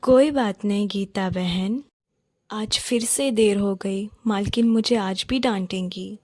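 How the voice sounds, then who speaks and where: A humble maid from a children's cartoon, played by a woman, meek and apologetic. She speaks softly and slowly in a dry, close recording, always deferring. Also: mid-range voice, no room sound. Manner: deferential, apologetic, soft-spoken. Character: humble, meek poor maid and sweet-maker, a mother. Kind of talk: Hindi children's cartoon story acting.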